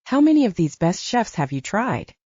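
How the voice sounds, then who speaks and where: A woman's voice: medium pitch, sing-song, normal speed, darkish tone, husky, dry recording, lo-fi sound. A woman voicing a cocky, flirtatious male werewolf chef in an audiobook, self-assured, with a Nevada accent. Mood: teasing, bold.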